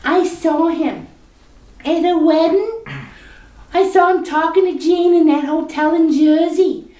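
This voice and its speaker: A woman acts out a melodramatic young chorus girl in a retro radio play, using a very high voice and drawn-out, slow phrasing. She wails and uses slang in a theatrical way. The room sounds echoey. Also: warm tone, distant mic. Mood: gossipy. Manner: theatrical, sobbing and wailing, tough-talk slang. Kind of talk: amateur retro radio drama acting.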